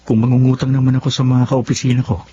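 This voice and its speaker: A man's voice: mid-range voice, level tone, quick pace, warm tone, natural voice. A man with a Filipino accent playing a glib, carefree young office worker who lies easily in a radio drama. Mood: worried, scheming. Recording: muffled audio with faint background noise.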